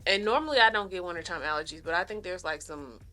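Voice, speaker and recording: A woman's voice: fairly low pitch, fast talker, bright, forceful tone, soft background music. A woman in her thirties chatting casually through a makeup routine, a little nasal, rambling in friendly asides to her viewers. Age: 30s. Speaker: lifestyle vlogger. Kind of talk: casual makeup tutorial chat.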